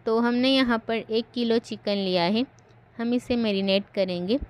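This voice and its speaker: A woman's voice: a little high, measured pace, clean tone. Woman narrating a home recipe. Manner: calm step-by-step instruction. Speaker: cooking channel host.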